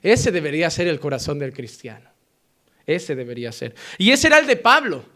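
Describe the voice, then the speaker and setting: A man with a Spain Spanish accent delivers an impassioned sermon in a notably high voice. He presses his points with loud emphasis, then sinks into quiet, earnest passages. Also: very animated, brisk. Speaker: preacher.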